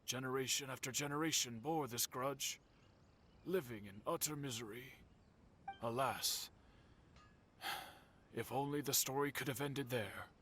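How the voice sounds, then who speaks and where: A solemn storyteller in a video game, played by a man, formal and courteous. His voice is mid-range and measured, with faint ambient noise behind it. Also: medium pitch, fairly bright tone, lightly acted. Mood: grave, sorrowful. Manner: grave, formal, measured phrasing. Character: solemn, courteous storyteller recounting old family tragedy.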